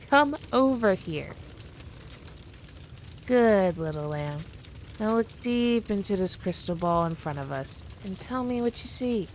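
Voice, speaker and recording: Woman voicing a demon queen, ASMR roleplay drama, medium pitch, haughty, teasing, dominant, condescending, faint background noise. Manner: taunting, haughty, playful, deliberate. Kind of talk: ASMR roleplay audio drama addressed to listener.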